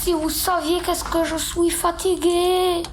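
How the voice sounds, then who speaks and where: A woman's voice: very high voice, little pitch movement, slow pace. A female performer playing an exhausted, comradely mine worker in a radio drama. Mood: weary. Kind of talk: radio fiction acting. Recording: distant, very echoey, noisy.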